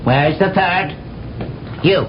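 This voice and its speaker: An actor playing a stern, unyielding alien military commander with curt, commanding delivery in a radio drama. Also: male voice, sits high, slow pace, slight background noise. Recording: echoey hall, dull old radio broadcast.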